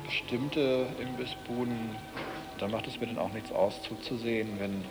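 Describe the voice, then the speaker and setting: A man's voice: mid-range voice, steady tone, moderate pace, over music. A man describing things in a casual, conversational way, groping a little for his words. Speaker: interviewee.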